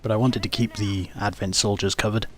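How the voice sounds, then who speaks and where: A low-pitched man talks through his own gameplay in a casual, matter-of-fact manner. He explains his moves step by step, with music playing behind his voice. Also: flat delivery, brisk. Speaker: gamer narrating his own playthrough. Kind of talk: casual game commentary, recounting moves.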